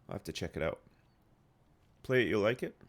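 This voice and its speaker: A man in his thirties streaming a game live, phlegmy and rambling as he answers chat. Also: lowish voice. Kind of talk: casual live gameplay chat.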